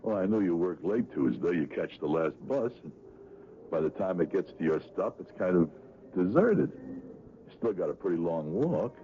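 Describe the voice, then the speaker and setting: A man's voice: deep voice, sing-song, normal speed, warm tone. An actor playing a lonely, self-loathing, obsessive man who can turn menacing, pleading and intense in a radio drama. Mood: awkward, hopeful. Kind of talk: 1970s radio drama acting. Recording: tinny lo-fi radio recording, faint noise.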